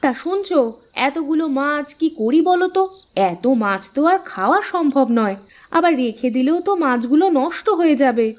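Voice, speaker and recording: A woman's voice: high voice, animated, moderate pace, lo-fi sound. A woman voicing a practical village wife in a children's cartoon. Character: high-voiced, practical village wife and mother.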